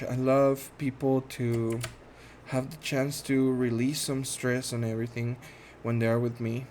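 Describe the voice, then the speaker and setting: A man in his thirties from Guatemala, speaking English with a Guatemalan Spanish accent, introducing himself in a shy, halting way full of ums and restarts. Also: mid-range voice. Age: 30s. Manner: shy, soft-spoken, earnest. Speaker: gaming channel host. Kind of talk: casual self-introduction while playing a game.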